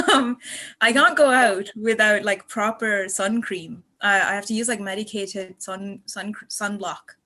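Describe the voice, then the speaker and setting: A woman's voice: mid-range voice, slow pace, sharp tone. A woman in her twenties from Malaysia chatting casually on a podcast, effusive and rambling as she tells her stories. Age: 20s. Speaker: podcast guest and student contributor.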